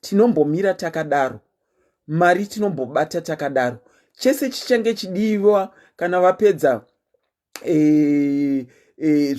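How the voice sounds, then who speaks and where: A woman with a Zimbabwean accent, hosting her show in a chatty, casual monologue, telling listeners her news with lots of "eh" fillers. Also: sits low. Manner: animated, chatty storytelling with frequent "eh" fillers. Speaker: host.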